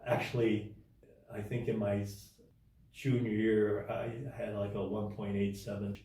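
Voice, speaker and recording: A man telling his life story as an interview guest in a casual, halting way, with many restarts and fillers. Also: on the low side, flat delivery, dark tone, very echoey, recorded from a distance.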